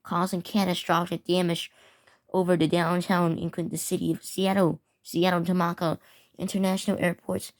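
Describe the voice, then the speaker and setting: A woman with a fairly low voice acts as an emergency alert announcer. She reads scripted warnings and official notices in the form of a public safety bulletin. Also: little pitch movement, moderate pace.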